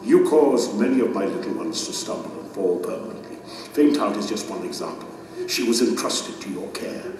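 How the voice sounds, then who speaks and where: A man's voice: lowish voice, expressive, moderate pace, noisy background, close to an everyday voice. An actor playing a stern, righteous king and judge in a solemn audio drama, speaking in a grave, declamatory way. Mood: grieved, condemning. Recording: cavernous echo, distant, music underneath.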